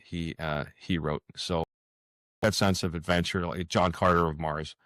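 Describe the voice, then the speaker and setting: Deep-voiced, hesitant male author guest. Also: fairly even intonation, normal speed, a bit bright, no room sound, studio quality. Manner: hesitant, hedging and repeating words. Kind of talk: casual interview answers.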